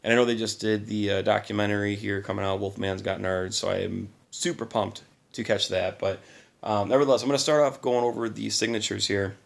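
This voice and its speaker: A man talks through his finds in a casual vlog, his voice hoarse and gravelly. He sits a little low in pitch and speaks with eager enthusiasm, yet he keeps it fairly quiet. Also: fairly even intonation, brisk. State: hoarse from singing and little sleep. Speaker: channel host.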